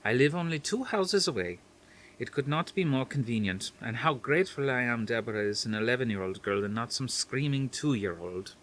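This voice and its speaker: A man's voice: medium pitch, expressive, normal speed, a bit bright, slight background noise, close to an everyday voice. A man reading an audiobook, voicing a stately grandmother near seventy with a faint Dutch accent who speaks firmly and formally. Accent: faint Dutch, as put on. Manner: firm, soothing, formal phrasing.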